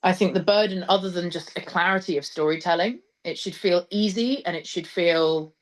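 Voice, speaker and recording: A woman with a fairly low voice speaks as an interview guest, a director at ease in conversation. She talks with enthusiasm and leans on her key words to make each point. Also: fairly quick. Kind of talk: conversational interview talk about craft.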